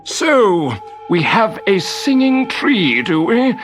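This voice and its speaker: A man's voice: high voice, big pitch swings, slow pace, fairly bright tone, faint music behind, pitched above the performer's own voice, heavily acted. A man voicing a grumpy, cunning old wizard in a storybook reading. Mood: grumpy, irritated. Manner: muttering, grumbling, wheedling.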